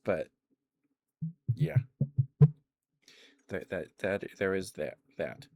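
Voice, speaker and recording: A man's voice: low-pitched, very animated, extremely slow, gravelly. A man streaming ASMR, chatting casually in a rambling, hesitant way into a close microphone. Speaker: live streamer. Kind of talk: ASMR, casual rambling chat to live viewers.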